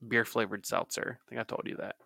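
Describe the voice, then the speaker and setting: A man's voice: lowish voice, steady tone, fast, creaky, sharp tone, no room sound, clean studio sound. A man, co-hosting a casual podcast in a laid-back, chatty way that often trails off. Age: 30s. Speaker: podcast co-host. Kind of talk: casual chat.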